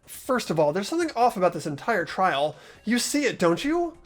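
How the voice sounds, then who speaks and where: Girl voicing nervous teen student in a game, stammery n pleading, lively pitch. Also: moderate pace, faint music behind. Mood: urgent. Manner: stammering, urgent protests. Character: earnest teenage student, flustered, pleading, wrongly accused. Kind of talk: hobby game voice acting.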